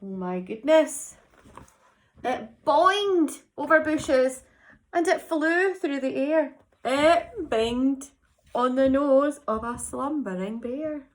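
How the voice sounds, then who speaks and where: Slow, high-pitched woman reading to children. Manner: animated, dramatic emphasis. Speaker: teacher reading a story to children. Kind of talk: expressive picture-book narration with asides to children.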